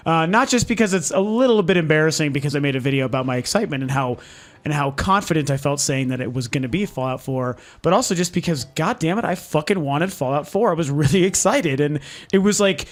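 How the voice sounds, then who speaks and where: A man with a voice sitting a little above the usual male range speaks hoarsely, his voice worn down. He hosts his own game vlog in a loose, candid way, venting his frustration and swearing without holding back. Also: a little high, animated, fast talker. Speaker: video game channel host. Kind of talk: casual ranting vlog. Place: lives in Denver.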